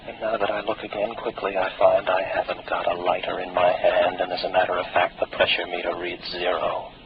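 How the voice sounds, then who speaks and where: An American actor playing a weary, skeptical space station officer in a 1950s radio drama, with a wry, detached, musing manner. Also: male voice, sits low, monotone, a little fast, natural voice. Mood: doubting, reasoning. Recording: muffled, noisy 1950s radio broadcast.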